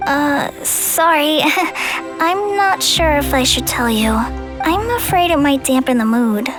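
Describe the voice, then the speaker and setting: A woman voicing a shy, anxious, gentle teenage girl in a video game, hesitant and apologetic. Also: sits high, lively intonation, unhurried. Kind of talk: English video game voice acting. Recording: music under the voice.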